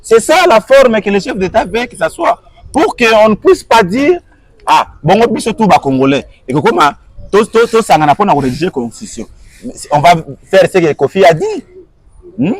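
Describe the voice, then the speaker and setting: A man's voice: sits high, sing-song, normal speed. A man answering an interviewer, arguing his point with repeated rhetorical questions and switching between French and Lingala. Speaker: guest.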